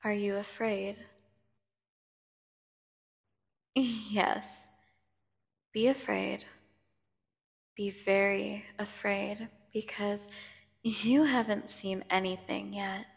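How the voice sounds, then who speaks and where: A woman playing a menacing, seductive vampire prisoner in an ASMR audio drama, taunting and condescending with theatrical pauses. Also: mid-range voice, fairly even intonation, vocal fry. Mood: threatening, seductive. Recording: long cavernous reverb.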